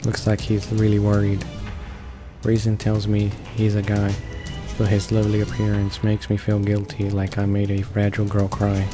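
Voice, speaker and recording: Halting, low-key man reading aloud, flat delivery. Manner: halting reading, stumbles and repeats words. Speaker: let's play narrator. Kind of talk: read-along of a visual novel. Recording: voice-over with game music behind.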